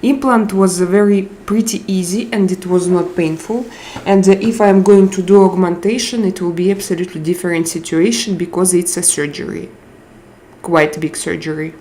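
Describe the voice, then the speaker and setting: A woman with a Russian accent chatting casually on a live stream, reading out viewer comments and hunting for English words with frequent pauses. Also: on the low side, steady tone, dark tone, slight background noise. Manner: slow, searching for English words with frequent hesitations.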